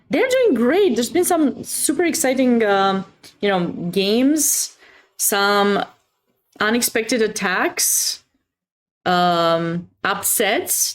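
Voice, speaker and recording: Romanian accented woman streaming chess, playful n chatty, mid pitched voice, casual commentary. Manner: playful, expressive, chatty with viewers. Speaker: chess livestream host and commentator.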